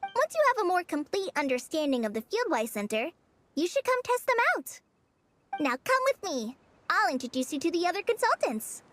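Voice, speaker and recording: A woman's voice: very high voice, big pitch swings, moderate pace, a bit bright, full character voice. A woman voicing a cheerful, eager young field researcher, perky and polite, in an anime-style video game. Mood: upbeat explaining. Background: background music.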